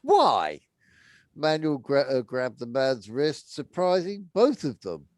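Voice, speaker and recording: A man's voice: medium pitch, sing-song, measured pace, a little mellow, no echo, clean studio sound. A man reading a story aloud unrehearsed, narrating and now and then stumbling over words and rereading. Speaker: reader of a book aloud.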